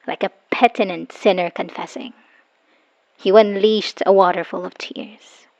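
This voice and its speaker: A woman acting a wounded, bitter woman leaving her abusive partner, in an emotional, halting dramatic audio roleplay monologue. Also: medium pitch, creaky. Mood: weary, wounded. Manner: emotional, halting, sighing, dropping into whispers. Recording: dull lo-fi voice recording.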